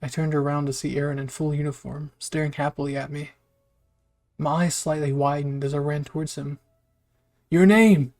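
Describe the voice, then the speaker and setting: A man's voice: fairly high pitch, monotone, fairly quick, darkish tone, faint music behind. A man reading a scripted first-person story aloud as a narrator. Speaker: fan fiction narrator.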